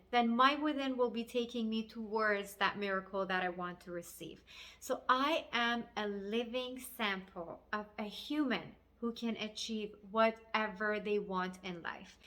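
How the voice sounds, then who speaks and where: A woman life coach with a Persian accent speaks in a fairly high voice. She is a native Farsi speaker delivering a motivational talk in English to viewers. Her manner is earnest and encouraging, with emphatic phrasing. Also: a bit bright. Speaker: life coach and video host.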